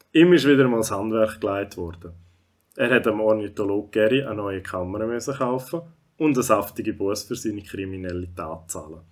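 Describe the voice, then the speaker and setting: A man with a Swiss German accent narrating a scripted children's story. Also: lowish voice.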